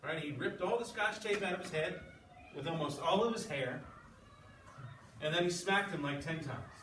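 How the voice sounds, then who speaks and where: A male schoolteacher with a slightly high voice, talking informally to his class as he reads and discusses. He is some way from the mic in an echoey classroom with clear background noise. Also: moderate pace, fairly bright tone, distant mic. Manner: informal, checking with listeners. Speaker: sixth-grade English teacher. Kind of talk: classroom read-aloud and discussion.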